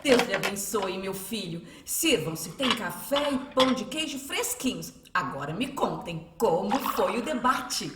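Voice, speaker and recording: A woman's voice: mid-range voice, very animated, unhurried, few pauses. An actress playing a caring, curious older mother in a radio drama, with a homely, inquisitive manner. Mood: eager. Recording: echoey, noisy recording with clicks.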